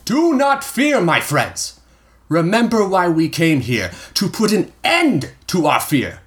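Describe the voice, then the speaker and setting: A vengeful militia leader rousing his men in a loud, declaiming voice, as a male narrator puts him on. Each line lands as a commanding call to the crowd. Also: high-pitched, huge pitch swings, slow, pitched above the performer's own voice, heavily acted.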